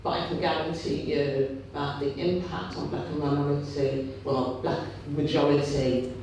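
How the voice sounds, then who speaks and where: A man with a big, loud voice giving an impassioned union rally speech, repeating phrases to build his point. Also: on the high side, level tone, moderate pace, creaky, distant mic, slight background noise. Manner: impassioned, repetitive rhetorical buildup. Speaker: trade union speaker. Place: meeting hall. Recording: very echoey hall, picked up from afar.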